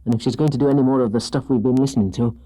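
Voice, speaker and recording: Man playing a wary young boy, mellow. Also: mid-range voice, steady tone, very fast, pitched above the performer's own voice, lightly acted, poor audio. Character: uneasy, plain-speaking young boy in hiding.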